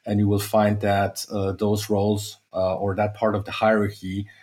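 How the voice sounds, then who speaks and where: A middle-aged man from the United States, speaking as a sales executive guest in a podcast interview, measured and with many fillers and restarts. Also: fairly low pitch, completely flat delivery, darkish tone.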